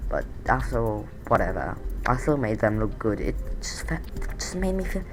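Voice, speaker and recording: A man's voice: lowish voice, very animated, moderate pace, a little hiss or hum. A man with a sore throat and a nasal voice, rambling through casual game commentary with many fillers and restarts. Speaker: gameplay commentator.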